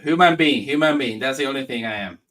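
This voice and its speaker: A man from Spain raised in the United States, a comic writer talking as a podcast guest in a friendly, halting way. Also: mid-range voice, animated, fairly bright tone, far from the mic. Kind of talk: casual interview chat.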